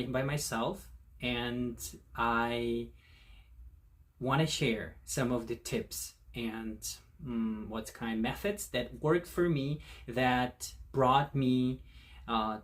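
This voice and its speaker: Slow, thoughtful male vlogger. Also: medium pitch. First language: not Korean. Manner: slow, thoughtful, many fillers and restarts. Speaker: channel host. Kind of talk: casual talk to camera.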